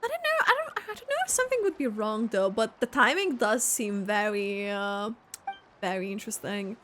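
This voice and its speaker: A woman giving casual, halting, animated reaction commentary as she plays a video game. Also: medium pitch, slight background noise. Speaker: game streamer.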